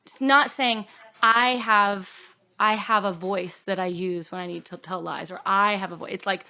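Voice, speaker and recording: A woman songwriter talks as a guest in a reflective interview. Her voice sits at an ordinary middle pitch. She is earnest and halting, circling back and leaving phrases unfinished. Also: fairly even intonation, pushed and bright. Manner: earnest, halting, with self-quotes and trailing phrases.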